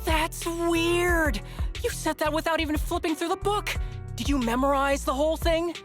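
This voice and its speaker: A woman's voice: mid-range voice, big pitch swings, fairly quick, music in the background, natural voice. A woman playing an easygoing, teasing section member in a video game, conversational and questioning. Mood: quizzical.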